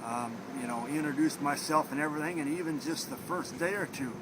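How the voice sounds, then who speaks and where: A man's voice: a little high, fairly quick, noisy background. A young man from Minnesota with a Minnesotan accent, chatting in an interview in a rambling, friendly way with lots of fillers. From Minnesota, USA. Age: young adult. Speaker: interviewee, trainee at a blindness training center. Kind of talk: casual interview talk.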